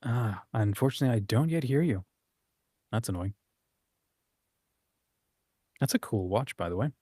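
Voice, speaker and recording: A man with a mid-pitched voice chats casually as the host of a livestream. His manner is informal and encouraging, and the sound is close and dry. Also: lively intonation, normal speed, warm tone, husky, no echo. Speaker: host of a writing community livestream.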